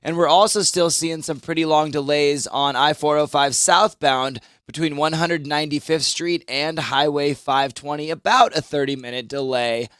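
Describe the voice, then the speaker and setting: Smooth male traffic announcer. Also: on the high side, normal speed, few pauses, no room sound, clean studio sound. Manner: smooth, even announcer delivery. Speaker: traffic reporter. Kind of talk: scripted traffic report with ad read.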